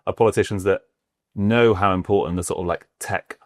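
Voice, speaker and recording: A man's voice: low-pitched, steady tone, brisk, no echo, studio quality. A British man from the South West of England hosting an interview, chatty and stumbling over his questions. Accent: British English. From Bath, South West England. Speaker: podcast host. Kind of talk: casual interview chat.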